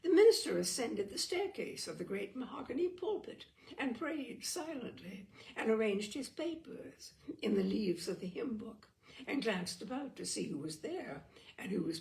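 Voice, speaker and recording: Lady reading an old comic story out loud, very up and down, bit croaky, dramatic emphasis. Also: mid-range voice, big pitch swings, a little slow. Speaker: storyteller, audiobook reader. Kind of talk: expressive literary narration of a comic short story.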